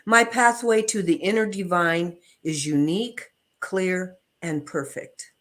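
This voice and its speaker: A woman leading affirmations and reading an inspirational text aloud in measured, deliberate phrases. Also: lowish voice, steady tone, a bit bright.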